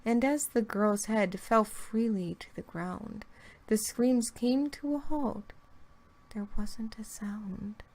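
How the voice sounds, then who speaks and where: Slow-reading woman with whispered lines. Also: fairly high pitch, a little mellow. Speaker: guest reader. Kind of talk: rhyming creepypasta reading.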